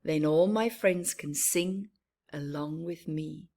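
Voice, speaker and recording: A woman's voice: low-pitched, slow, dark tone, pitched below the performer's own voice. A woman voicing a proud, cheerful young boy tree from a children's storybook, with earnest, emphatic phrasing. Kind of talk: children's storybook narration in the first person.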